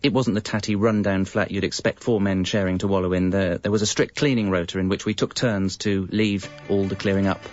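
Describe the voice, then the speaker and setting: An actor playing a wry, evasive British husband and father in his thirties, confessing in a deadpan, conversational comic narration. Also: deep voice, fairly quick, few pauses, natural voice. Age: 30s. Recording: dull, lo-fi radio audio. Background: music.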